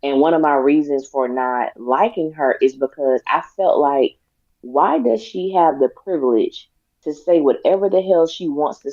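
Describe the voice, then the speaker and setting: A raspy-voiced woman co-hosts a casual talk show, making her points deliberately and with emphasis. The recording is dry and has a dull, lo-fi sound. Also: very deep voice, mellow, dry recording. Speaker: podcast co-host. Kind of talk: casual discussion.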